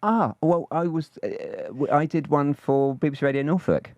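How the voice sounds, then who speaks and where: A man's voice: on the high side, moderate pace, darkish tone, dry recording, highs cut off. A man co-hosting a podcast in casual chat, rambling and hesitant, with many restarts. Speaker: podcast co-host.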